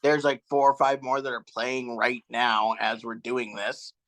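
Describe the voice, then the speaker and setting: A young man co-hosting a casual sports podcast, relaxed and a little hesitant, trailing off with ums. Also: mid-range voice, a little slow. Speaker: podcast co-host. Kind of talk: casual chat.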